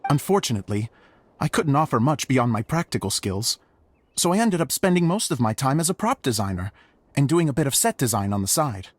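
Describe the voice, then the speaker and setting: An actor playing an eager, theatrical, self-styled stage director in a video game, effusive and chatty. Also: male voice, medium pitch, very animated, a little fast, slightly rough, no echo. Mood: chatty, earnest. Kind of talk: video game cutscene acting.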